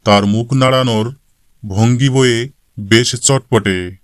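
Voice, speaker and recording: A man narrating a scripted cartoon story in a measured manner. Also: mid-range voice, clean tone, no room sound, studio quality.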